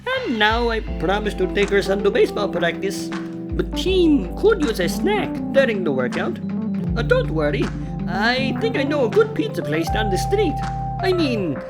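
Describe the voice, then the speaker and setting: A woman's voice: fairly high pitch, huge pitch swings, normal speed, over loud music, pitched above the performer's own voice. A woman voicing an upbeat, eager-to-please young handyman who rambles and gets a little flustered, in a cartoon-style audio play. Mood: cheerful, self-deprecating.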